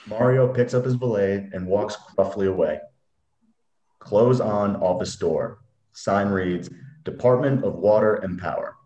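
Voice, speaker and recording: A man's voice: on the low side, a little slow, mellow, highs cut off. A man reading screenplay stage directions as narrator in a scripted reading. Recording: roomy echoing computer mic.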